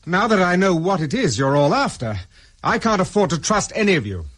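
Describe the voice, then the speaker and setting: A man's voice: high-pitched, big pitch swings, brisk, a bit bright, slight background noise. An actor playing a wary, defiant man on the run, clipped and distrustful, in a radio spy drama. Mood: angry, distrustful.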